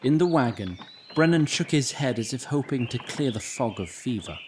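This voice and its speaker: A man's voice: mid-range voice, animated, moderate pace, a little mellow, slight background noise, lightly acted. A man narrating a fantasy audio drama as a storybook narrator in scripted prose. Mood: descriptive.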